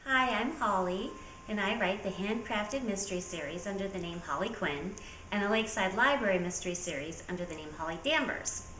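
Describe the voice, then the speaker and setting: A woman's voice: mid-range voice, a little fast, a bit bright. A woman speaking casually to viewers as an author presenting a trivia question. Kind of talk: casual talk to camera. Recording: echoey room with faint background noise.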